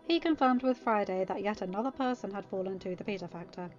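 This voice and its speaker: A woman giving an expressive scripted narration of a story, as a podfic reader. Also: mid-range voice, fast. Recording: slightly dull recording. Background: music.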